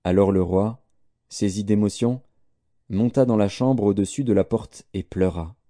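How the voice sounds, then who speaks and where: A man narrating scripture as a scripted audiobook in a solemn, measured storytelling manner. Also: lowish voice, dark tone, no room sound, clean studio sound.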